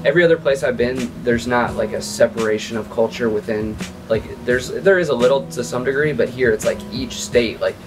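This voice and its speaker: A man's voice: medium pitch, brisk, hardly any pauses. An American man with a slight Southern accent chatting casually, asking curious questions. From United States. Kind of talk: casual chat. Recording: loud music behind.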